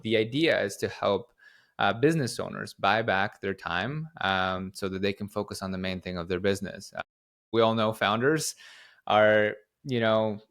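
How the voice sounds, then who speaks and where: A young company head from Guatemala speaks a touch low in pitch. He answers at length in an easy, rambling way, and he keeps restarting his sentences and padding them with "like" and "uh.". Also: moderate pace, a bit bright. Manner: rambling, many fillers and restarts. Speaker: company CEO, podcast guest. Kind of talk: casual interview answers.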